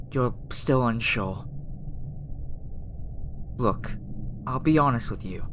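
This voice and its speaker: Woman acting as an earnest, low-voiced alien girl. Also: a bit bright, a little hiss or hum, full character voice. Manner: hesitant, stammering, reassuring. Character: earnest, easily flustered alien girl researcher abducting a human. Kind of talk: ASMR roleplay voice acting.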